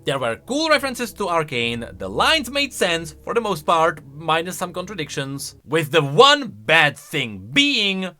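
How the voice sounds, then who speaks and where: A man giving casual, opinionated reaction commentary on video game lore in an animated, conversational way. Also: a little high, unhurried, few pauses. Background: faint music.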